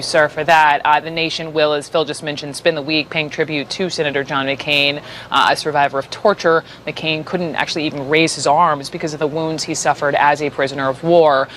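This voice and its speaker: Low-voiced American woman news anchor. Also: a bit bright, a little hiss or hum.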